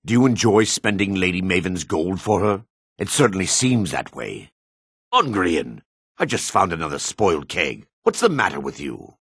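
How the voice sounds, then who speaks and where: Fantasy game dark elf, deep-voiced, shifty and greedy merchant, raspy, brusque delivery with lively swings. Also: male voice, normal speed, dry recording, studio quality, heavily acted. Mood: irritated, brusque. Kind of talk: fantasy video game voice acting.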